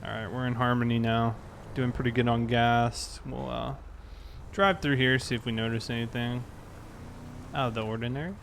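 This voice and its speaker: An easygoing man talks casually to viewers as he streams a police roleplay game. His pitch sits in the middle range, and faint game vehicle noise runs behind his voice. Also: steady tone, moderate pace, gravelly, a bit bright. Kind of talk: casual livestream chat while playing police roleplay.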